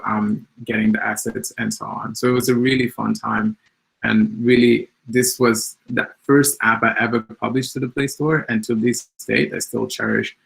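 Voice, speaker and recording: A man's voice: lowish voice, gravelly, fairly bright tone, poor audio. A man from Mozambique whose first language is Portuguese, speaking in English about his path as an app developer in a hesitant way, with many ums and likes. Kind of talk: personal talk on tech journey.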